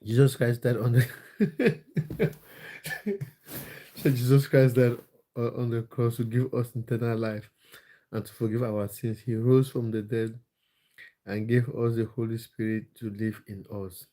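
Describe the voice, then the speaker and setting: Casting note: a man from Cameroon, livestream host, relaxed and warm in tone, reads out chat comments and answers them with lots of hesitation. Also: medium pitch, unhurried, pauses often. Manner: reads out viewer comments and answers them, with many fillers and repeats. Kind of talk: casual livestream chat about football.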